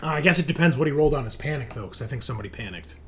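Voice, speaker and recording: A man's voice: medium pitch, lively intonation, racing, a bit bright. A man running a tabletop game as game master, narrating in a loose, conversational and casually profane way. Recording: noticeable background noise.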